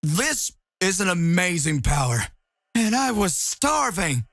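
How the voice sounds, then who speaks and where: A man's voice: high-pitched, very animated, slow pace, a bit bright, no echo, studio quality, exaggerated voice. A man voicing a confident young male fighter who speaks boldly.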